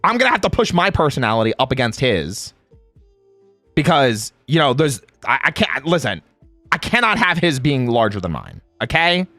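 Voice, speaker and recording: Male streamer, very animated pitch swings, playful teasing, casual chat, close dry sound, music behind. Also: moderate pace, no room sound. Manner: exclamatory, animated reactions. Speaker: streamer and host; game voice actor. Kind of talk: casual livestream chat, greeting viewers.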